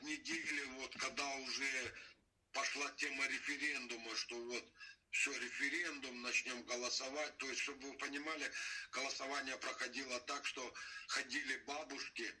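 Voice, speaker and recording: A middle-aged man tells his story in an unpolished, halting way, often restarting and looping back with the same filler phrases. His voice is very bright and sharp-edged. He sits some way off from the microphone. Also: mid-range voice, flat delivery, recorded from a distance, low-quality recording. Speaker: interviewee, father of a detained son. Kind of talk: casual spoken testimony.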